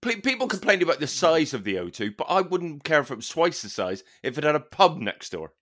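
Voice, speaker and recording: A man's voice: on the high side, big pitch swings, brisk, a bit bright. A man co-hosting a podcast, teasing dryly in casual comic chat. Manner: dry, teasing, playful. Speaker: podcast co-host.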